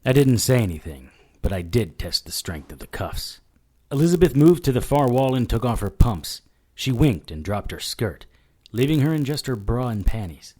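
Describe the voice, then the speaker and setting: An actor playing a wisecracking, defiant noir investigator in an audio drama, dry and sardonic in dialogue and narration. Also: male voice, mid-range voice, sing-song, moderate pace, darkish tone. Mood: wry.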